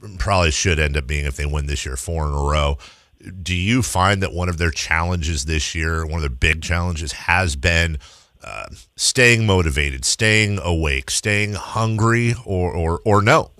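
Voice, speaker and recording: A man's voice: low voice, unhurried, pushed and bright, dry recording, studio quality. An American man hosting a sports radio show, interviewing in a conversational way.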